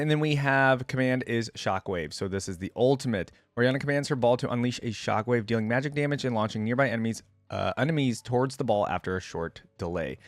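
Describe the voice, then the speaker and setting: Low-voiced man doing casual reaction commentary. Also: dry recording. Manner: conversational, thinking aloud. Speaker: reaction video host.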